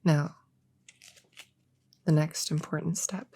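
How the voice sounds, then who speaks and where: A friendly, slightly bumbling novice witch acted by a woman murmurs through an ASMR roleplay. The voice is low, with a creaky, breathy edge that often slips into a whisper. The delivery is unhurried, casual and a little hesitant. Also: fairly even intonation, dark tone, exaggerated voice. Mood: musing, casual. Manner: hesitant, casual, self-correcting. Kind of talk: soft-spoken ASMR roleplay.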